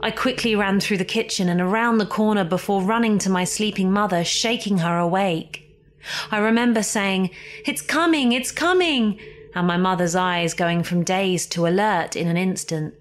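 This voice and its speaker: A woman reading a scripted ghost story as a horror podcast narrator, theatrical and suspenseful, with deliberate emphasis. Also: medium pitch, fairly even intonation. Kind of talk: scripted dramatic spooky narration. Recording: music behind the voice.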